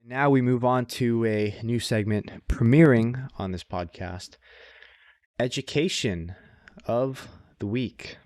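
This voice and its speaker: A man's voice: mid-range voice, slow, darkish tone, no room sound, studio quality. A man co-hosting a podcast in casual chat, often repeating his phrases and swearing casually. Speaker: podcast co-host.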